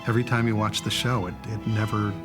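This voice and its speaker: A man's voice: on the low side, flat delivery, fast, music in the background. A man, a producer, looking back in a documentary interview.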